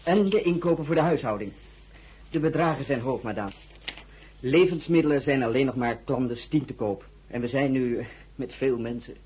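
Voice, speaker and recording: A very husky, careful household steward, acted by a man in a radio drama. He speaks at a middling pitch with measured, polite deference, like a bookkeeper reporting the facts. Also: darkish tone, natural voice. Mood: apologetic, factual. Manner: polite, matter-of-fact, measured.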